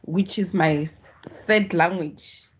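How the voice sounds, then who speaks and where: A woman's voice: fairly low pitch, lo-fi sound, slight background noise. A South African woman whose first language is Tswana, patiently explaining statistics in an online tutorial. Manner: slow, patient explaining with restarts. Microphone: video call.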